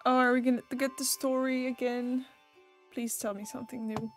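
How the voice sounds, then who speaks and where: A woman's voice: high-pitched, flat delivery, moderate pace, faint music behind. A young woman with a Dutch accent streaming a game, casually and sarcastically talking back to the characters. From Netherlands. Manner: sarcastic, chatty, jokes at the game. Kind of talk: casual gameplay commentary.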